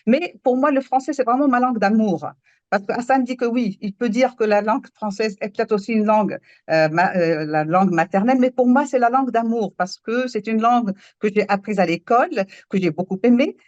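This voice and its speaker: A woman's voice: on the high side, fairly quick, a little mellow, no echo. Moroccan-born woman storyteller, dull dry sound. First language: Moroccan Arabic. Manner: earnest, searching for words, reflective. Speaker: storyteller and workshop leader. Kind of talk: panel discussion. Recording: dull dry remote audio.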